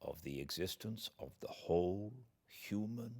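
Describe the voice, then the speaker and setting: A British man reading classic literature aloud as a narrator, in a measured, theatrical, dramatic style. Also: sits low, animated. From Britain. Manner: measured, theatrical delivery with hushed passages and swelling emphasis.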